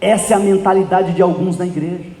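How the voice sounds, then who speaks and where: High-voiced Brazilian male preacher in echoey hall. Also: steady tone, quick pace, warm tone. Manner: emphatic, exhortative, acting out dialogue and repeating phrases for effect. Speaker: pastor. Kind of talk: preaching a sermon. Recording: echoey hall with long reverb.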